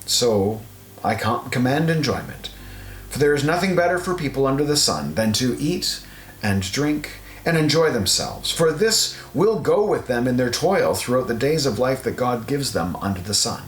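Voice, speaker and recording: A man narrating an audio Bible, reading scripted scripture in a measured, solemn recitation. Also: mid-range voice, big pitch swings, a little hiss or hum. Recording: roomy echo, guitar music underneath.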